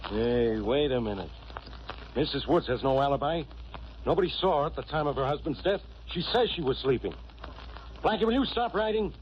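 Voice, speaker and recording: An actor playing a gruff, sarcastic, suspicious police inspector in 1940s radio drama style. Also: male voice, mid-range voice, a little fast, close to an everyday voice. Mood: blustering, musing. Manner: blustering, sarcastic. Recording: muffled old radio recording, steady hiss.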